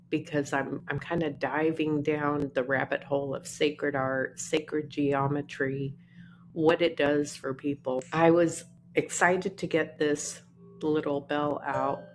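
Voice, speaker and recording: A woman, musing unhurriedly in a casual vlog from her apartment. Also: slight background noise. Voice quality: naturally deep voice. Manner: unhurried, musing, trailing off between phrases. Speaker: artist vlogger. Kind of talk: casual talking-to-camera vlog.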